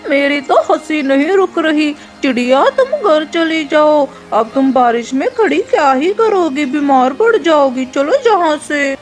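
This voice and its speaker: A woman's voice: high voice, animated, slow pace, faint music behind. A woman voicing a gloating, callous male crow villain with a mocking manner in a children's cartoon. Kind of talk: children's cartoon story acting.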